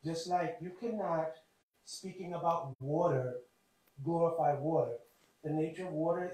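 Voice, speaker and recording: Hoarse man speaking in a cavernous hall. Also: fairly high pitch, mellow. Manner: earnest, explanatory, measured. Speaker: devotee speaker. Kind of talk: devotional talk. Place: temple hall. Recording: very echoey hall, voice from far off.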